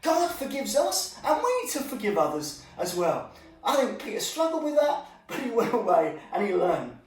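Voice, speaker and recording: A man's voice: sits high, huge pitch swings, moderate pace, soft background music. A man telling an informal children's Bible story, chatting directly to his young listeners as he presents. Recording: echoey room, distant microphone.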